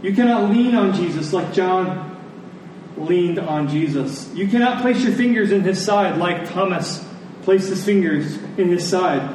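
A man's voice: high voice, a little mellow. Man preaching in a big echoey room, kinda far from the mic, earnest and emphatic. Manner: earnest, emphatic, repeats phrases for weight. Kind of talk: sermon, closing in prayer. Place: large echoing room, church service. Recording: echoey large room, distant, faint noise.

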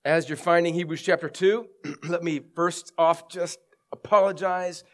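A man's voice: a little high, fairly even intonation, a little slow, a bit bright. A middle-aged male pastor with a head cold and scratchy throat, preaching a sermon earnestly, explaining and reading scripture with emphasis.

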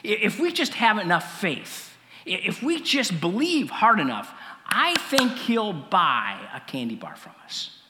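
A man's voice: high voice, huge pitch swings, unhurried, sharp tone, big-room sound, a little hiss or hum, pitched above the performer's own voice, heavily acted. A man voicing an earnest, hopeful elementary school boy who ponders hesitantly and repeats himself.

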